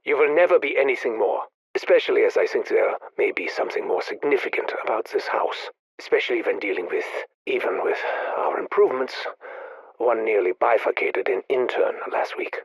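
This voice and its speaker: An actor playing a grieving, obsessive male scientist, speaking in a measured, brooding, confessional way in video game audio logs. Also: mid-range voice, lively intonation, creaky, husky, no room sound, dull audio.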